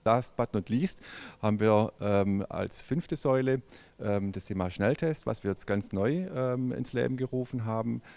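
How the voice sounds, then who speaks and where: A middle-aged man, a company executive answering questions in a halting way with many fillers, recorded on a handheld mic in a large showroom. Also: medium pitch, vocal fry, warm tone. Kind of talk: on-camera interview. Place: large car showroom indoors. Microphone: handheld microphone.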